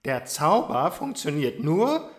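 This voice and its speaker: Guy doing a talking donkey for a kids fairy tale radio play, sly and slow, voice a bit high. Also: sing-song. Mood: sly, coaxing. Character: sly talking donkey, gluttonous and self-assured.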